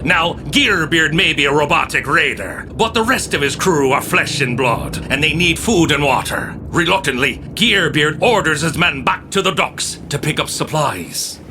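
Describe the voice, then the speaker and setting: An actor as a storytelling narrator, giving scripted, theatrical narration of a pirate adventure. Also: male voice, a little high, animated, moderate pace, hardly any pauses, noisy background, lightly acted. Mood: ominous.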